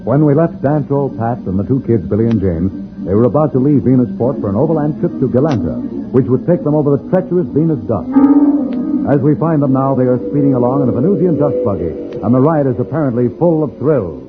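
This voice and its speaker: A man's voice: mid-range voice, expressive, fast talker. A man announcing a 1950s radio serial with dramatic, suspense-building scripted narration. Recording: tinny old radio recording with loud music.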